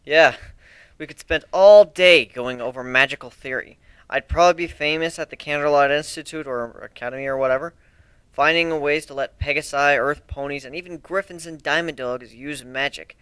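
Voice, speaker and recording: A man's voice: a little high, moderate pace, a bit bright, clear, resonant tone, no room sound, natural voice. A man voicing a cocky, teasing young pirate in an amateur dramatic reading, smug and snarky as he explains himself. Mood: frank, bantering.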